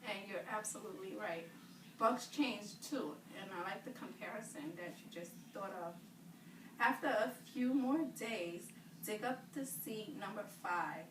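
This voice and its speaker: A woman's voice: mid-range voice, unhurried. Female teacher for a children's read-aloud lesson, prompting with questions; roomy, far-from-mic sound. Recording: roomy, distant mic, clear background noise.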